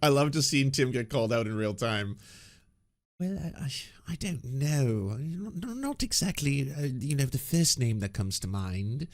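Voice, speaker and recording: A man hosting a reaction show in a mocking, sarcastic way. His voice sits at an ordinary middle pitch. He sounds like he is talking over clips he is watching. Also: animated, measured pace. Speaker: commentary host.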